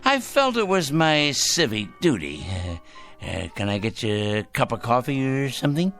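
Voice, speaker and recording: An actor playing a fanatical, self-righteous crank in a radio drama, fussy and excitable, repeating himself emphatically. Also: male voice, medium pitch, moderate pace, faint music behind. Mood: eager, fussy. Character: fanatical, self-righteous male crank obsessed with punishing evil.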